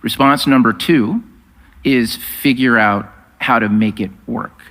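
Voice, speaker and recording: A man's voice: mid-range voice, slow pace, a bit bright. A man co-hosting an interview, setting out questions in a structured, wry, conversational way, with long reverb around him. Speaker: interviewer, podcast host. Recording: long reverberant hall sound.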